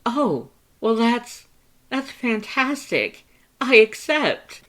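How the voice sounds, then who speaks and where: Dramatic excitable skeleton guy, high voice, super earnest and exclamatory, audiobook fanfic. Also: very slow, exaggerated voice. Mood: elated. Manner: emphatic, exclamatory, theatrical delivery. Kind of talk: character voice in fan fiction audiobook.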